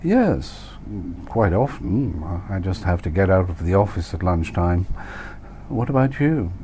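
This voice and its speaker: A man's voice: deep voice, big pitch swings, a little slow, warm tone, a little hiss or hum. A man playing a courteous, eager, lovestruck office worker in an audiobook novel.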